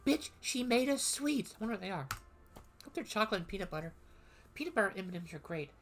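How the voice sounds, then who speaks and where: A woman's voice: mid-range voice, big pitch swings, quick pace. A woman streamer chatting casually and playfully while reading through a game, rambling between lines. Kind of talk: casual gameplay commentary.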